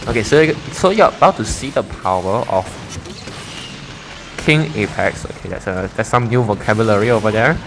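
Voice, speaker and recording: A man's voice: on the low side, animated, normal speed, background noise. A young man casually commenting on his own racing gameplay, rambling and correcting himself as he goes. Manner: rambling, self-correcting, chatty. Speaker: gaming YouTuber. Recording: game sound loud behind voice. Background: racing game engine sounds.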